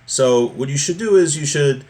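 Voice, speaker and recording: A man teaching an informal religious lesson, reading from a text and explaining it in a halting, repetitive, conversational way. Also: fairly high pitch, animated, a little mellow.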